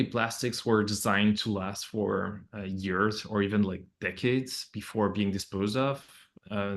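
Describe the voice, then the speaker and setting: A man giving explanatory interview answers as a scientist, in halting, hesitant phrasing. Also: fairly low pitch, a bit bright. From Belgium. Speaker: project scientist, interview guest.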